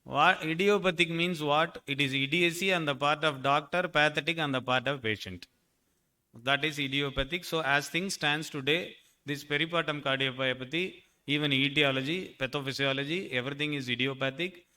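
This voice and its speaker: Bright-voiced man moderating a panel. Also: a little high, moderate pace. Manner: wry, joking, presses the panel with questions. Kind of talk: conference panel discussion.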